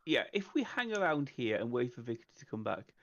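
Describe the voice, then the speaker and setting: A man of average pitch talks casually as a tabletop game player. He reasons aloud in a measured way. The recording is a little dull and muffled. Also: big pitch swings, fairly bright tone, a little hiss or hum. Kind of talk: casual strategy discussion. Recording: slightly dull, muffled microphone.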